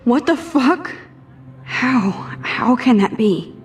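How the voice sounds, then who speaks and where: A woman's voice: a little high, lively intonation, slow pace, a little hiss or hum. A woman playing an anxious, earnest teenage schoolgirl thinking aloud to herself in a video game. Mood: stunned, confused. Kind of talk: inner monologue, video game acting. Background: music.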